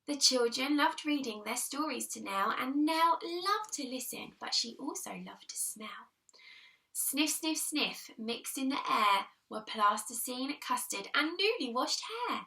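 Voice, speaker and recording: A woman reading a children's picture book aloud in an expressive, deliberate storytelling manner, leaning on the rhymes. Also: high voice, vocal fry, far from the mic. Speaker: primary school teacher reading aloud.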